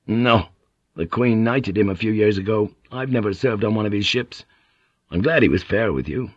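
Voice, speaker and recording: Man playing a low-pitched, guarded ship's pilot. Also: moderate pace, no echo, dull audio, close to an everyday voice. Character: guarded, plain-spoken male ship's pilot, newcomer to Japan.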